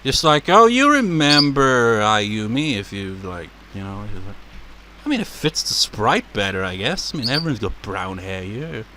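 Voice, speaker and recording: A man with a naturally deep voice rambles through casual let's play commentary, his pitch jumping around with lively swings. His tone is bright and forward, with laughs and swearing mixed in. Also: measured pace, a little hiss or hum.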